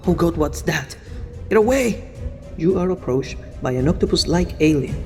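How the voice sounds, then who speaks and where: A man's voice: fairly high pitch, expressive, moderate pace, music in the background. A man playing an anxious armored soldier in a scripted video game story, with stilted, dramatic delivery. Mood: narrating.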